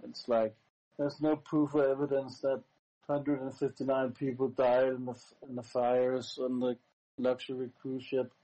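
A Danish man whose first language is Danish, speaking English in a casual, rambling live-stream monologue, halting with many fillers and restarts, blunt and crude. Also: medium pitch, level tone, mellow, low-quality recording. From Denmark.

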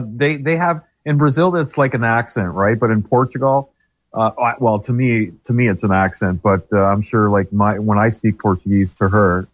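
A man co-hosting a podcast, talking casually in a halting way with many restarts. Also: on the low side, no echo. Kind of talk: casual chat. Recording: dull lo-fi line.

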